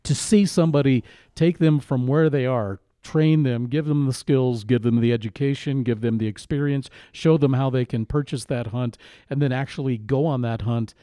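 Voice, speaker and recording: A man's voice: medium pitch, normal speed, warm tone, dry recording, studio quality. An American man co-hosting a podcast in casual conversation. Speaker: podcast co-host.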